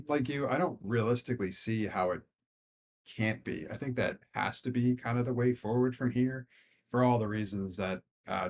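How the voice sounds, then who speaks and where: A man's voice: lowish voice, a little mellow. An American man co-hosting a casual discussion podcast, talking in a hedging, meandering, conversational way. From Philadelphia, United States. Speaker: podcast co-host.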